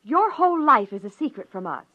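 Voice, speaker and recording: A woman's voice: sits high, very animated, a little fast, bright, forceful tone, no room sound, full character voice. An actress playing a wry, no-nonsense wife and mother in an old radio drama. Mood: firm, scolding. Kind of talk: 1950s radio drama acting. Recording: dull lo-fi old radio recording.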